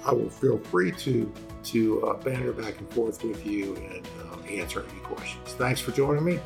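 A man with a raspy voice, a lawyer hosting a casual explanatory talk, halting with fillers and restarts as he loses his voice. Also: fairly low pitch, over music. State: losing voice after vocal cord injections. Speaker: personal injury lawyer and host.

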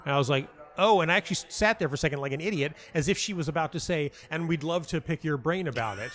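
A man speaking as an interview guest, telling personal anecdotes casually in a halting way, interrupting himself and repeating words for emphasis. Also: on the high side, fairly bright tone. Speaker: guest, playwright and audio dramatist.

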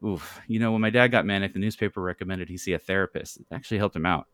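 A man's voice: deep voice, quick pace, a bit bright, dry recording. A man voicing a friendly, supportive teenage boy, an easygoing and concerned best friend in a retold sitcom story.

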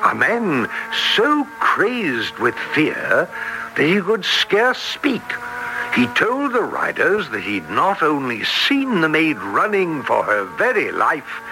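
An excitable theatrical country doctor. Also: male voice, a little high, music in the background, exaggerated voice. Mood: ominous, dramatic. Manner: theatrical, dramatic storytelling with weighty pauses. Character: earnest, excitable country doctor, gentleman, fearful of legend. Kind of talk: 1940s radio drama acting. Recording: noisy old radio broadcast recording.

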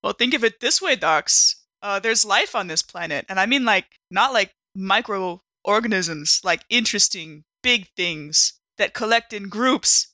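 A woman's voice: mid-range voice, expressive, measured pace, few pauses, sharp tone, no echo. A woman playing a brash, easygoing, caring marine medic in a tabletop game, casual, firm and teasing. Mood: excited.

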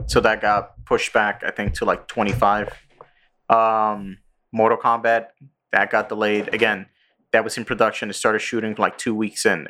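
Bright-voiced man rambling through movie news. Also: on the low side, slight background noise. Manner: rambling, swearing, with many ums and likes, laughing. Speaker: podcast co-host. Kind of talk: casual chat about movie news.

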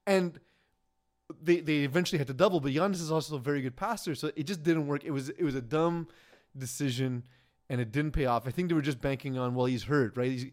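A man co-hosting a casual sports talk show, rambling and laughing as he goes, often trailing off quietly. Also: fairly high pitch, quick pace. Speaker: podcast co-host.

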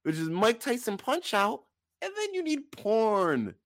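A man's voice: sits high, very animated, a little slow, a bit bright, dry recording, clean studio sound. A man co-hosting a podcast in casual banter, with an emphatic, mock-incredulous delivery. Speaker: podcast co-host.

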